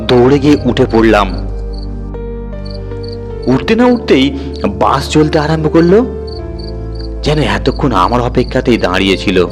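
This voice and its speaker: A man narrating a horror story in the first person with an expressive, dramatic storytelling delivery. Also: mid-range voice, measured pace, long pauses. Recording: music bed under the voice. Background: music.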